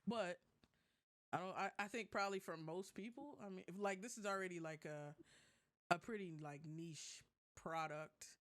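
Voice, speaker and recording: A podcast co-host chatting casually and informally about tech, with animated, slangy delivery. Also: mid-range voice, moderate pace. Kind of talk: casual tech and gaming chat.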